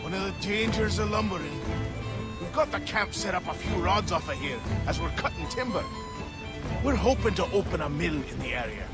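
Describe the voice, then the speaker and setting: An actor playing an excitable, cheeky lumberjack in a video game, blunt and animated. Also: male voice, high voice, normal speed. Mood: cheeky, friendly. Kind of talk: video game cutscene acting. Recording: music behind the voice.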